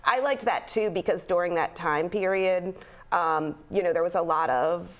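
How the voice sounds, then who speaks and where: Chatty young actress, soft low voice. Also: female voice, normal speed. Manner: chatty, many fillers, laughs while talking. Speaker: actor in a community theater play. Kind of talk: casual interview chat.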